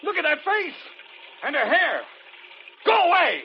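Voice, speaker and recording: An actor playing a sensible, reassuring husband who gets rattled, in 1940s radio mystery style. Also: male voice, very high-pitched, very animated, unhurried, exaggerated voice. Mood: alarmed, threatening. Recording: noisy, muffled vintage radio drama recording.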